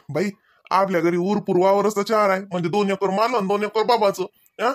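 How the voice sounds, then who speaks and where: A man's voice: high voice, fairly even intonation, moderate pace, dry recording, studio quality, lightly acted. A man voicing an evasive village brother in a comedy cartoon, placating and repeating himself in a rural Vidarbha accent. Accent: rural Maharashtra, Vidarbha. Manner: placating, chuckling, repetitive.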